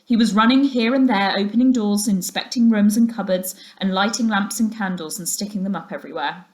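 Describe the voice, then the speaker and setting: A woman reads a children's book aloud in the manner of a schoolteacher. Her medium-pitched voice carries expressive storybook narration. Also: brisk, reverberant. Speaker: teacher reading to a class.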